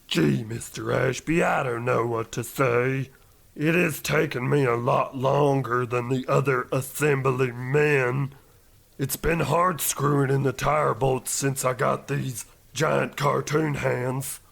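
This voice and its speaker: A man's voice: medium pitch, steady tone, slow, vocal fry, fairly bright tone, heavily acted. A man voicing a meek, folksy cartoon bear-cow factory worker in a comedy radio play. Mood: sheepish. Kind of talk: old-time radio comedy acting.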